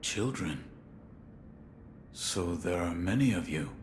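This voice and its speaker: An actor playing a stoic, loyal shinobi in a video game, terse, grave and deferential. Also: male voice, low-pitched, animated, slow, a little hiss or hum. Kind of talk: restrained video game acting.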